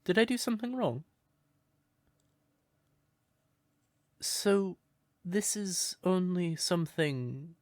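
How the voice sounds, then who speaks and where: A man playing a shy, sweet, curious crow turned human in a roleplay audio, speaking gently and breathily with hesitant stammers. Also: a little high, dry recording, studio quality. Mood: curious, innocent. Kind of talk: soft roleplay audio, intimate character acting.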